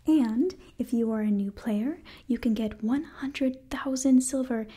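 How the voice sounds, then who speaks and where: A woman in her twenties giving an enthusiastic ASMR sponsor read in a soft, breathy whisper, close to the microphone. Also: a little high, measured pace. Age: 20s. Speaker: ASMR creator and host.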